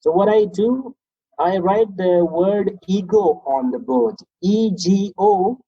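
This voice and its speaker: A man's voice: sits high, slow pace, mellow, breathy edge, no room sound, dull audio. A man from Pakistan, speaking earnestly in an interview as an English teacher. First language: Pashto. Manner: earnest, reflective, chuckles between points. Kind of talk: interview answers.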